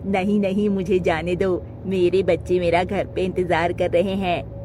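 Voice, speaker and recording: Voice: medium pitch, flat delivery, measured pace, darkish tone, slight background noise, close to an everyday voice. A performer voicing a timid male pigeon, a worried little father who pleads in a children's cartoon. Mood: frightened, pleading. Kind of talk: Hindi children's cartoon voice acting.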